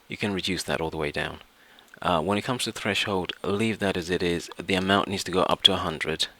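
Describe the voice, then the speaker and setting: A man narrating a software tutorial, explaining step by step in an instructional way. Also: sits low. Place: indoors, voice-over to screen recording.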